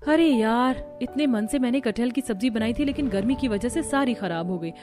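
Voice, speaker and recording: Young housewife for family cartoon, voiced by a woman: fretful, expressive, raised pitch, music underneath. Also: normal speed, natural voice. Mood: frustrated. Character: young daughter-in-law, fretful about household hardship. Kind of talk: Hindi family cartoon acting.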